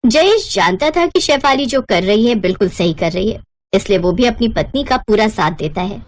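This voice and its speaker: A woman's voice: a little high, slight background noise. A woman narrating a scripted moral bedtime story as a storyteller.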